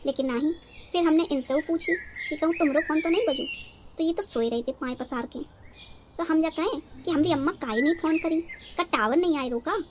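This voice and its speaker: A woman's voice: high-pitched, brisk, background noise, natural voice. A woman playing a naive, dramatic, talkative young village bride in a cartoon, with a rural North Indian accent and a rambling, guileless manner. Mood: chatty. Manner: rambling, guileless, theatrically indignant. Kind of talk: cartoon story dialogue in rustic dialect.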